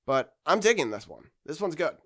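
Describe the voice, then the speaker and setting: A man's voice: a little high, sing-song, a little fast, fairly bright tone. Rambling man in casual fan commentary. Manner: rambling, hesitant, losing his train of thought. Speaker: anime discussion video host.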